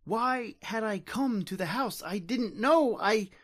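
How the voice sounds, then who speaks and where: A woman playing a desperate, terrified invisible widowed soul, dramatic and halting, in an old-time radio horror monologue. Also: a little high, expressive. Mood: haunted dread. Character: desperate, terrified invisible widower trapped between life and death.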